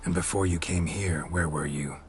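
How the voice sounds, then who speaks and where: An actor playing a laconic, weary, guarded monster hunter in video game dialogue, speaking tersely with understatement. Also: male voice, low voice, steady tone, normal speed, a little hiss or hum, natural voice. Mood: guarded, subdued. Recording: music playing behind the voice.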